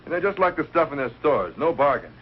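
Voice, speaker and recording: An actor playing an earnest, polite young American college suitor in a 1940s sitcom, speaking eagerly and a little haltingly. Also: male voice, fairly high pitch, big pitch swings. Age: young adult. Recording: dull old broadcast, faint hiss.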